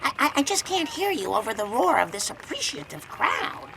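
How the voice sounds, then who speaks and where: A high, gravelly cartoon mom. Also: female voice, big pitch swings, normal speed, noisy background, full character voice. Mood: smug. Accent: American. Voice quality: raspy, gravelly. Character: raspy-voiced earnest middle-aged cartoon suburban mom. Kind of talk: animated comedy game acting. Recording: loud music behind the voice.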